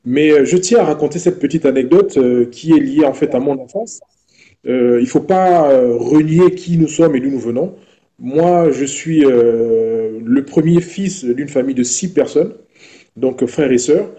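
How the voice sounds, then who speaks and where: A man in his thirties from Gabon, speaking as an interview guest in a measured way with frequent hesitations. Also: mid-range voice, dark tone. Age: 30s. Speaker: entrepreneur guest. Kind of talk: interview answers.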